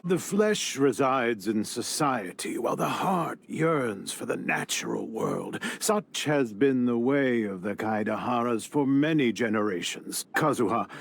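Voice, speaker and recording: A man playing a wise, weary grandfather of a noble clan in a video game, speaking in a solemn, gentle, measured way. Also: lowish voice, vocal fry, exaggerated voice. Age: older. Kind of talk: dramatic game voice acting.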